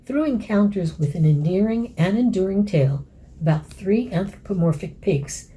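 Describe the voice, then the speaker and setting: A woman's voice: medium pitch, animated, normal speed, warm tone, husky, low-quality recording. A woman lecturer reading aloud from her scholarly essay. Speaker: academic author and lecturer.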